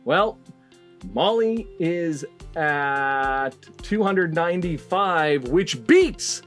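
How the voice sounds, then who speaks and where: A man hosting a comedy panel game in a deliberate, mock-ceremonial way with dramatic pauses. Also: a little high, animated, a bit bright, faint music behind. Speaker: game show host and headmaster.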